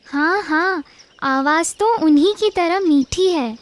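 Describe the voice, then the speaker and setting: A woman's voice: extremely high pitch, slow pace. A woman voicing an eager, childlike little goat kid in a children's cartoon. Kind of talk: children's cartoon story acting.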